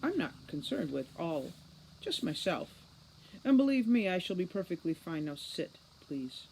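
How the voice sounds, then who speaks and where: A man as a smug, high-voiced boy mastermind. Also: big pitch swings, moderate pace, gravelly, a little mellow, husky, heavily acted, rough recording. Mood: sardonic amusement. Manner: sardonic, condescending, formal. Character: smug, cold boy criminal mastermind, precociously clever. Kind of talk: audiobook character reading.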